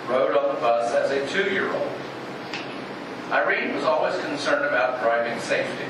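An older man reading a prepared script aloud as a narrator at a public talk. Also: mid-range voice. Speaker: speaker reading a script at a historical society event. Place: echoey space, away from the microphone. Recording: echoey, distant microphone, clear background noise.

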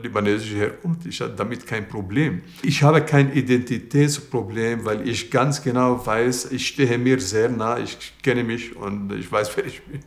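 Older man, halting speech, full of fillers, mid-pitched, roomy echo. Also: vocal fry. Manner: hesitant, many pauses and fillers. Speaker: interviewee. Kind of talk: documentary interview. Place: indoors by a bookshelf.

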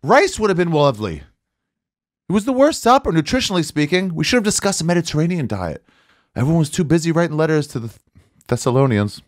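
A man's voice: a little high, sing-song, quick pace, no room sound. A man in his forties giving a casual comedic read with sarcastic, exasperated delivery, close on a studio mic. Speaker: guest comedian on a podcast. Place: small podcast studio, indoors. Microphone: close studio mic with headset.

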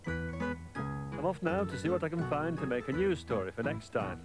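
Children's presenter: middle-aged British man, gentle storyteller, very varied intonation, music behind. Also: a little high, very animated, normal speed, poor audio.

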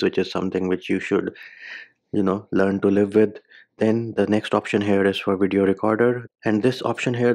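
A man's voice: fairly low pitch, creaky, a little mellow. A man hosting a tech tutorial, talking through a phone demo step by step in an informal, slangy way. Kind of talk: casual how-to narration over a phone demo.

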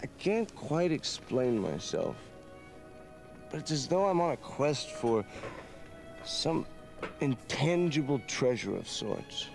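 An actor playing an earnest, reflective young adventurer, a romantic dreamer, in a dramatic video game cutscene. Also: male voice, medium pitch, sing-song, takes it slowly, gravelly, pauses often, soft background music, full character voice. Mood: yearning.

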